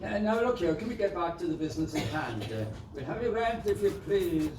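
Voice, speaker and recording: A man acts out a pompous, greedy landlord squire in pantomime style, blustering in a high voice that swings up and down. He is heard from far off in a noisy hall. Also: expressive, a little fast. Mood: pompous, demanding. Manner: blustering, pompous, hesitant mumbled asides. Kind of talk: stage pantomime acting. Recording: echoey noisy stage, recorded from far off.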